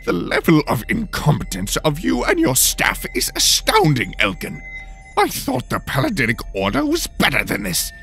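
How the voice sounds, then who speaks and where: A man's voice: mid-range voice, wildly swooping pitch, moderate pace, gravelly, sharp tone, soft background music, pitched above the performer's own voice, heavily acted. A man voicing a pompous, indignant, haughty governor in a fantasy audio drama. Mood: scornful. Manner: haughty, scornful, blustering. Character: pompous, indignant male governor, flustered and sneering.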